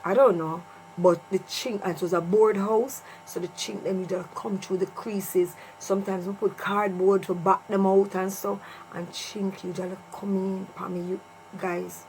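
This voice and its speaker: A woman's voice: mid-range voice, fairly even intonation, moderate pace, darkish tone, low-quality recording. Jamaican woman storytelling over humming noise. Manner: emphatic, repeats phrases for effect. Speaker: vlogger telling a personal story. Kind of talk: casual personal storytelling to viewers. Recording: clear mains hum and noise.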